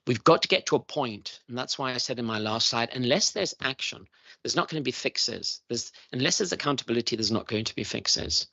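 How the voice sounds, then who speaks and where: A man's voice: mid-range voice, expressive, fairly quick, gravelly, sharp tone, dry recording. A middle-aged man giving earnest, emphatic testimony to a parliamentary committee, repeating his key points. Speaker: chief schools evaluator testifying to a committee. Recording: dull lo-fi committee room audio.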